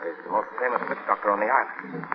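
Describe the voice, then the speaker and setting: An actor playing a hot-tempered man in a tropical love triangle, forceful and theatrical, in 1940s radio drama style. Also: fairly low pitch, fast talker, lightly acted. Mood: urgent. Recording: muffled vintage 1940s radio recording.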